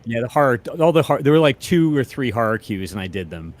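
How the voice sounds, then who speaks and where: A man's voice: medium pitch, big pitch swings, fast talker. A man co-hosting a casual podcast chat, laid-back and self-deprecating in the way he talks. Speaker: podcast host, musician.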